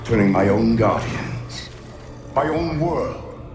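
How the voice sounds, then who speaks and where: An actor playing an ancient, imperious alien warlord in a video game, speaking in grandiose, menacing pronouncements with contempt for humans. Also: male voice, animated, slow pace. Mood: scornful, menacing. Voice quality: gravelly, booming. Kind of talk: cinematic video game villain acting. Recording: cavernous echo, distant, music underneath.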